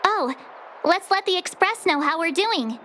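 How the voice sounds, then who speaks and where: A woman's voice: very high-pitched, animated, measured pace, fairly bright tone, slight background noise, heavily acted. A woman playing a bubbly, carefree girl in a video game, perky and playful in manner. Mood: cheerful, playful.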